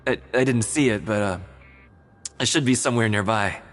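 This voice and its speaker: A man's voice: fairly low pitch, moderate pace, faint music behind, close to an everyday voice. An actor playing a big nervous male club member who stammers and hesitates while faking cheer.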